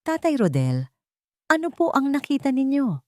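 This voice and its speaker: Woman plays shy young Filipina mother and housemaid, respectful, quietly strong, dry audio-drama narration. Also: high-pitched, sing-song, moderate pace, no room sound, pitched above the performer's own voice. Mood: anxious.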